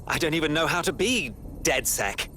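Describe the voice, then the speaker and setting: A man's voice: fairly high pitch, animated, normal speed, sharp tone, a little hiss or hum. An actor playing a male recruit to an underground resistance in a video game. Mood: unsure, hesitant. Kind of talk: scripted video game dialogue.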